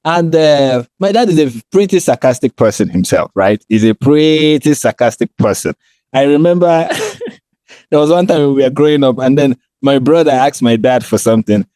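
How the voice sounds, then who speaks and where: A Nigerian man speaks in a dark, relaxed voice with very little room sound. He explains himself conversationally as a podcast co-host, often checking in with the listener as he goes. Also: mid-range voice, normal speed, no echo.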